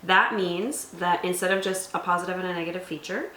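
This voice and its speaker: A woman with a slightly low voice talks casually, explaining things as a show host. The room around her is clearly echoey, and there is faint noise behind her. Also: fairly even intonation, brisk, bright, forceful tone. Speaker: host of a tabletop roleplaying show. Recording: roomy echo, faint background noise.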